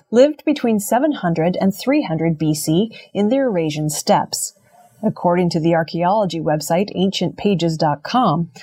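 A woman's voice: fairly low pitch, mellow, breathy edge. A woman reading scripted archaeology news as a narrator. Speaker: news reader.

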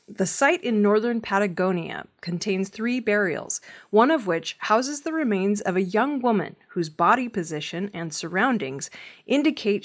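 A woman reading scripted news stories as the presenter of an audio newscast. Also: medium pitch. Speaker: news reader.

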